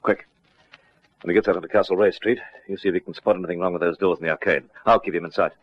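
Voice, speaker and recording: Low-voiced police detective. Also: male voice, fairly even intonation, fast talker. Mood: alert, hushed. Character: watchful, brisk police detective on night patrol. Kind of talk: old radio drama acting. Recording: dull, noisy old radio recording.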